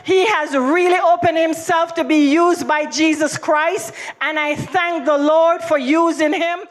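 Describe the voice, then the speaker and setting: A woman's voice: very high-pitched, steady tone, a little slow. A Jamaican woman giving a fervent church healing testimony, praising and thanking God over and over. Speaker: testimony giver.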